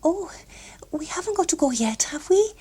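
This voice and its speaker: A woman's voice: very high-pitched, sing-song, moderate pace, mellow, pitched above the performer's own voice. A woman voicing a shy, polite eighteen-year-old girl in an audiobook, with a girlish, hesitant manner. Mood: pleading. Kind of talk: audiobook character voice.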